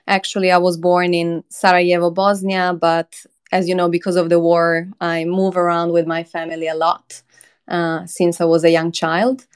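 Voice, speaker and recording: A woman from Croatia in her thirties, a company chief being interviewed, talking in an earnest, measured way with frequent ums. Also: lowish voice, monotone, smooth. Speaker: crypto company CEO, guest. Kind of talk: conversational interview.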